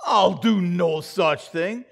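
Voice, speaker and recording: A man's voice: high-pitched, slow pace, vocal fry, breathy edge, heavily acted. An actor playing a pompous, proud and gullible male neighbor in old-time radio comedy, hemming and indignant. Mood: indignant pride. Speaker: actor in community theater radio play.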